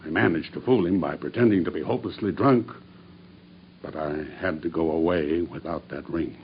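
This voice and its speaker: An actor playing a grim, vengeful murderer making a deliberate, matter-of-fact confession in a radio drama. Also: male voice, deep voice. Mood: grim recounting. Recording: dull radio drama recording with background noise.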